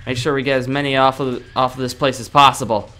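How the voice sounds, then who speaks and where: Cocky young male anime fighter, acted by a man, bright forceful voice, slangy grumbling, game roleplay, music behind. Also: mid-range voice, level tone, fast talker, lightly acted. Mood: cocky, combative. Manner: grumbling slangy monologue, talking to himself. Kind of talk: improvised video game roleplay.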